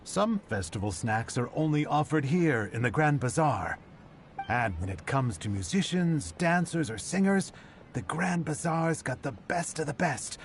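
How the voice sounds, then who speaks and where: A man's voice: a little high, sing-song, unhurried, slight background noise. A man playing an upbeat, boastful bazaar townsman in a video game, talking enthusiastically. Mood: proud. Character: upbeat festival-proud male bazaar townsman.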